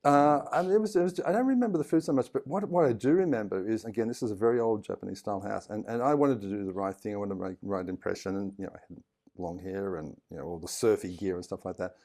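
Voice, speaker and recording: A man in his sixties with an Australian accent, a podcast guest telling stories in a casual, animated way. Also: lowish voice, fast. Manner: animated storyteller who acts out other people's lines and laughs often. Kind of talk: casual storytelling interview.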